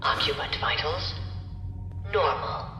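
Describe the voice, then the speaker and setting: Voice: mid-range voice, takes it slowly. A performer voicing an impersonal automated computer in a video game, giving clipped formal announcements over a speaker system. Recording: echoing hall, distant, under loud music.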